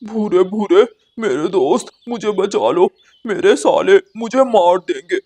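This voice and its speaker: A woman's voice: medium pitch, expressive, unhurried, mellow, husky. A woman voicing a cowardly male cartoon crow, a bullied husband who pleads and whines. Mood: panicked pleading. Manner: pleading, whining.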